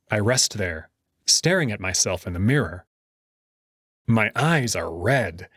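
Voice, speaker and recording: Husky man narrating, lively and dry. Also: mid-range voice, no room sound. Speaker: narrator reading a visual novel. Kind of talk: dramatic first-person scripted narration. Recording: dry close voice over faint music.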